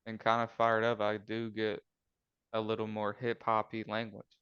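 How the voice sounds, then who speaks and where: Smooth low-voiced young man, muffled. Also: completely flat delivery, moderate pace, a bit bright, no echo. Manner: teasing, laid-back. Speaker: podcast co-host. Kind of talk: casual chat.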